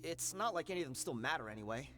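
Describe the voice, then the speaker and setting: An actor playing a boastful but cowardly mercenary grunt in a game, sneering and then stammering when threatened. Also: male voice, on the high side, animated, very fast, bright, forceful tone. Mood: placating. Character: cowardly boastful mercenary thug, adult man. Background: game music.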